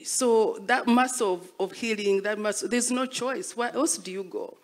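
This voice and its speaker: A woman's voice: a little high, moderate pace. A woman speaking reflectively in an interview as an artist, telling stories and repeating phrases for emphasis. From Rwandan, born and raised as a refugee abroad. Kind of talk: reflective spoken interview, storytelling.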